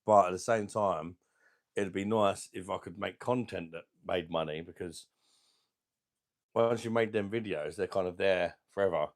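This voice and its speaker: A middle-aged man with a deep voice and a sore throat, chatting casually as he hosts a beer channel livestream and reads out viewer comments in a rambling, laid-back way. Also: moderate pace. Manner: rambling, laid-back, chuckling between remarks. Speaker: beer review channel host.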